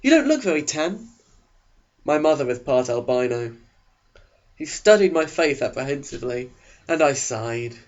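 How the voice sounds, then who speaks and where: A man's voice: on the high side, vocal fry, dull audio. A man narrating a mock audiobook with a sarcastic, exaggerated delivery.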